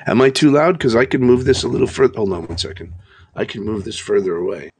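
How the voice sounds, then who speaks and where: An older man with a naturally loud voice, chatting casually from his home bedroom and rambling with many fillers. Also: on the low side, expressive, fast, husky. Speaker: guest and chat moderator. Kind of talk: casual chat.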